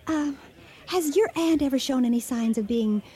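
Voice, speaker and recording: An actress playing an earnest, worried American wife in a 1980 radio drama, speaking in a questioning, conversational way. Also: female voice, high voice, moderate pace, mellow, lightly acted. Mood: suspicious, earnest.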